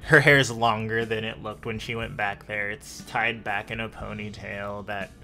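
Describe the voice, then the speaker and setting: A low-pitched man acts as game master for a tabletop roleplaying game. His voice is gravelly with fry, and he describes scenes in a measured way. Faint music plays underneath. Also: flat delivery. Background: soft music.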